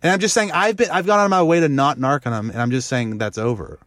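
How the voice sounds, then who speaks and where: Higher-voiced man chatting, close and dry. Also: quick pace, fairly bright tone, dry recording. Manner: profane, uses "dude" often. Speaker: podcast co-host. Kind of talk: casual chat, storytelling.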